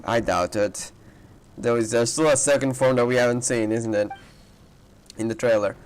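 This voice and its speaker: A man's voice: mid-range voice, normal speed. A man giving casual, think-aloud commentary as he plays and reacts to a video game. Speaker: gaming content creator. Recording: loud game music over voice. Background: loud game music.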